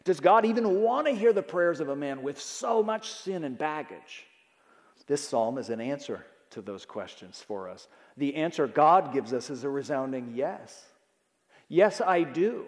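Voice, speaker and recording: A man delivers a sermon with earnest, emphatic conviction, and his fairly high voice leaps up on key words. The sound carries a long reverb, as if it were recorded in a large hall. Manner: earnest, emphatic preaching with sudden loud rises. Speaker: pastor.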